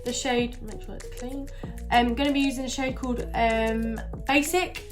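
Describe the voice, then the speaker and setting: A woman's voice: a little high, steady tone, normal speed, over music. A woman with a stuffy, nasal voice from a cold, chatting casually through a makeup tutorial with lots of fillers. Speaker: beauty vlogger.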